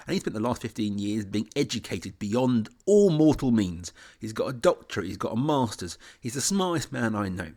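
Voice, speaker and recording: A man reading an informal, scripted promo as a podcast host. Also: medium pitch.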